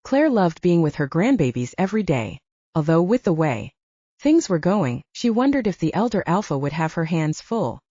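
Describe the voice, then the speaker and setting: A woman's voice: on the low side, big pitch swings, moderate pace, warm tone, dry recording. A woman reading a scripted fiction audiobook as narrator, on a slightly dull recording.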